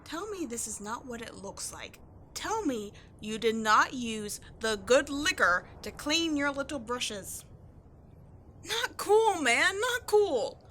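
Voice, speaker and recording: A woman playing a cocky, sarcastic tomboy adventurer in an audio roleplay, slangy and expressive in her exasperation. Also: sits high, slow pace, slight background noise, exaggerated voice. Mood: outraged. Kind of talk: scripted ASMR-style audio roleplay, one-sided dialogue.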